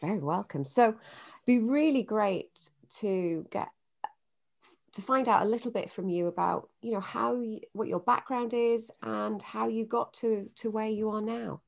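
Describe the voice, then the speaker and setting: A woman's voice: mid-range voice, vocal fry. A woman hosting a leadership podcast, reading a polished introduction and then chatting with her guest. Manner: polished when reading, friendly and halting when improvising. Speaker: podcast host. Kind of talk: scripted intro, then casual interview.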